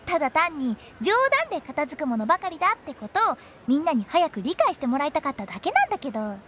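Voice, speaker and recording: A woman's voice: high-pitched, very animated, fast, noisy background. A woman voicing a playful, mischievous teenage girl with a teasing, theatrical way of talking in an anime game. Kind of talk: Japanese anime game voice acting. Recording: game music behind the voice.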